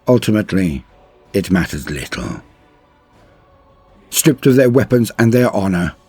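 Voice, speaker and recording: A man with a low, raspy voice narrates dark fantasy war lore. His delivery is solemn, emphatic and theatrical, like a scripted saga. The sound is close and dry, with music faintly underneath. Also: no echo.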